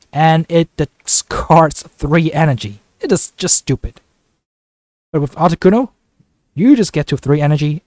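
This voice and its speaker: Man, flu-congested voice, slightly high, upbeat chatty gaming commentary, dry close mic. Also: a little mellow, no room sound. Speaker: gaming YouTuber. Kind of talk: casual gameplay commentary.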